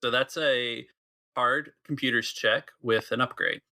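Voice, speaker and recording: A man's voice: medium pitch, fairly even intonation, unhurried, bright, forceful tone. A man running a tabletop game as game master, describing the map and calling for dice checks.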